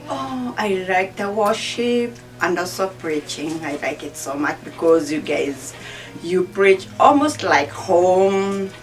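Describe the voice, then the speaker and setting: A woman's voice: fairly low pitch, animated, takes it slowly. A woman with a Kenyan accent gives a simple personal testimonial. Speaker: church member giving testimonial. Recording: distant mic, faint music.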